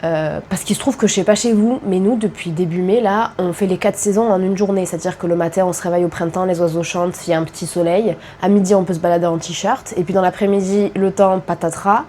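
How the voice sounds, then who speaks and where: A woman's voice: on the low side, fairly even intonation, quick pace, hardly any pauses, dark tone, a little hiss or hum. A young woman with a cold, chatting casually to camera about her reading, with self-deprecating asides. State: congested with a cold. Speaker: book vlogger. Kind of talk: casual vlog chat.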